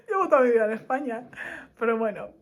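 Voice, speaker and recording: A young woman with a Madrid Spanish accent talks casually to her camera, animated and laughing between thoughts. Her voice sits a touch high, and her delivery stays loose and chatty. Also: highs cut off. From Spain. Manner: animated and laughing, lively asides. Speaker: vlogger.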